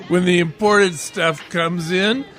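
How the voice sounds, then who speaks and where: A man acts out a drunken, long-winded sidekick from an old radio detective play. His voice sits a little high and moves slowly. He rambles with wry, theatrical philosophizing. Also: steady tone, a bit bright, faint music behind. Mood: rambling, wry. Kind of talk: old-time radio detective drama acting.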